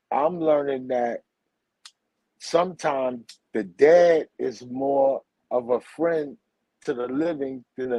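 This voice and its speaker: Brooklyn-raised man in his forties, slow husky gravelly voice, dry close sound, rambling slangy guest. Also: fairly high pitch, dark tone, dry recording, poor audio. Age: 40s. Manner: rambling, slangy, repeats "you heard?" and "for real". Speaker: guest, teacher and author. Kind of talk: casual spiritual conversation.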